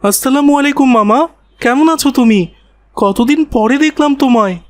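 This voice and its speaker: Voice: sits high, brisk, no room sound, close to an everyday voice. A performer voicing a polite, earnest young village boy in a cartoon. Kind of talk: cartoon dialogue.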